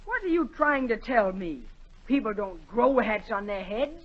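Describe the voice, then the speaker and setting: A woman's voice: fairly high pitch, very animated, unhurried. A performer playing a cocky, bullying young boy nobleman, haughty and taunting, in a 1940s radio drama. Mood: indignant. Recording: dull 1940 radio recording.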